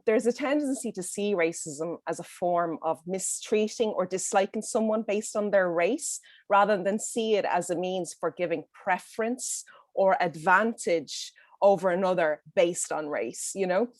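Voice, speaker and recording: A woman's voice: mid-range voice, no room sound, clean studio sound. A woman with a Dublin accent speaking earnestly on an online panel over a video call, with frequent fillers and restarts. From Ireland.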